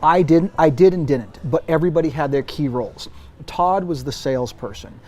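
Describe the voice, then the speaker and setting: A man in his forties giving casual interview answers, emphatic and animated, often restarting his sentences. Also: a little high, brisk. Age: 40s. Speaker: interview guest, brand executive.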